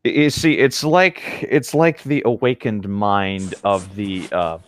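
A man's voice: on the low side, steady tone, slow, dry recording, clean studio sound. A man chatting casually and reading a novel aloud among friends. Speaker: co-host reading a book aloud for commentary.

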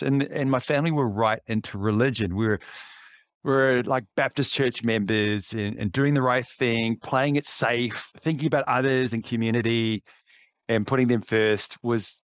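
A man's voice: on the low side, smooth, no room sound. A man in his fifties with a New Zealand accent, a podcast guest talking reflectively over a video call from an office, often restarting his phrases. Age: 50s. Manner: reflective, with light laughs and frequent restarts. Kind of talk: casual interview chat. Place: indoor office. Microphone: headset on a video call.

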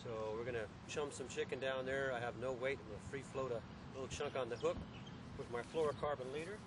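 A man's voice: medium pitch, fairly even intonation, fast. A man casually narrating his shore fishing trip in an outdoor harbor park, talking in an easygoing, chatty way with frequent fillers. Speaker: fishing vlogger, ship crew member. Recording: outdoor recording with loud wind noise.

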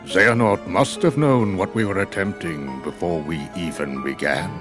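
Deep, solemn old wizard, acted by a man. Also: over music, close to an everyday voice. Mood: grave, explanatory. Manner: solemn, formal, deliberate. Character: wise old male wizard, grave, measured, authoritative. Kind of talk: scripted video game cutscene acting.

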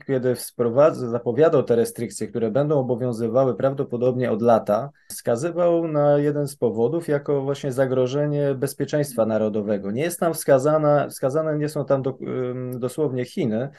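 A man's voice: medium pitch, normal speed, hardly any pauses, warm tone. A man giving expert commentary as an analyst, hesitant and full of fillers and restarts. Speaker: policy analyst guest.

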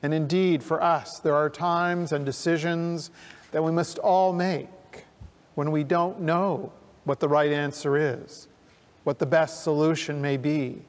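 A man in his sixties preaching a sermon from a church pulpit, speaking earnestly with deliberate pauses into a pulpit microphone. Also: a little high, steady tone. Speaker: minister. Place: church sanctuary, at a wooden pulpit. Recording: long church reverb.

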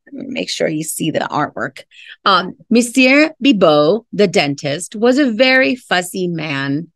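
A woman's voice: lowish voice, big pitch swings, unhurried, a bit bright, dry recording. A middle-aged woman, a teacher reading a picture book aloud with chatty, friendly asides to young listeners. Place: indoors in a classroom.

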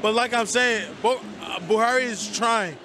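Earnest high-voiced man from Nigeria. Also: moderate pace, a crowd in the background. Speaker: nonprofit worker. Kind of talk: street interview.

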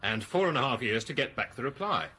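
A man's voice: mid-range voice, big pitch swings, fast, pushed and bright, breathy edge, full character voice. An actor playing an inquisitive male spaceship crewman and amateur enthusiast in a science-fiction radio drama. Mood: eager.

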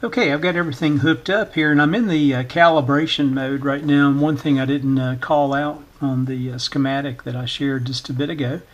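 A man giving an informal hands-on demonstration in short, halting, hesitant phrases, talking at a workbench indoors. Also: on the high side, fairly even intonation, highs cut off. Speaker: electronics repair channel host, demonstrator.

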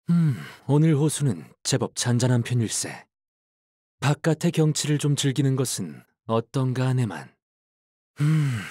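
A man voicing a courteous ship's first mate in a video game, speaking in formal, old-fashioned, gentlemanly phrases. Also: medium pitch, no echo, studio quality. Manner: archaic, gentlemanly, measured phrasing. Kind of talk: video game character voice lines.

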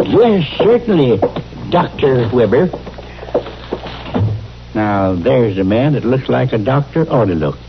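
A homespun rural American hotel clerk in an old radio western. He rambles in a mid-pitched voice, eager and obliging. The recording is dull, with faint hiss. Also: very animated, slow, pauses often. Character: chatty, eager, folksy small-town hotel clerk.

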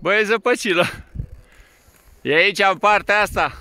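A man's voice: sits high, bright, forceful tone, smooth, dry recording. A man working as a ski instructor, talking casually and playfully, teasing and coaxing as he calls out on a slope. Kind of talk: casual phone-video chat while skiing. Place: outdoors on a snowy ski slope. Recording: windy outdoor phone recording, wind noise.

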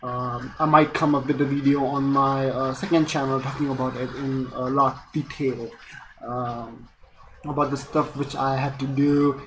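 A young man in his late teens with an Indian accent talks to camera in a loose, rambling vlog style. His voice sits at a medium pitch, and he often breaks off and restarts his thoughts. There is faint noise behind him in a small room. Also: level tone, measured pace. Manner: rambling, self-interrupting, casual swearing. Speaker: YouTube vlogger, student. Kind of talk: casual unscripted vlog chat. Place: small bare room indoors. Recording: noisy room with background rain.

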